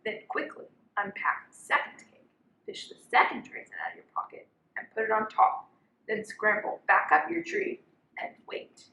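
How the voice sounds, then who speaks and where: A woman's voice: fairly high pitch, animated, moderate pace, gravelly, bright, forceful tone. A young woman reading a children's picture book aloud as a volunteer storyteller. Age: young adult. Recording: roomy, echoing sound.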